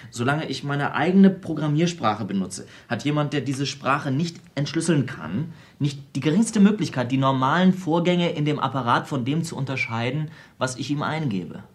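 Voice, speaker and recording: Actor as eccentric, self-absorbed computer whiz, explaining. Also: male voice, on the high side, expressive, natural voice. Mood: intent, lecturing. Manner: explanatory, lecturing, halting in places. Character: eccentric, self-absorbed American computer programmer, brilliant tinkerer. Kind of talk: naturalistic radio drama dialogue.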